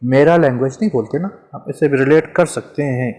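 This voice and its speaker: A man's voice: mid-range voice, fairly quick, darkish tone. A man teaching a Hindi grammar lesson in a casual, chatty way, asking questions and answering them himself. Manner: conversational, repeats examples, asks and answers himself.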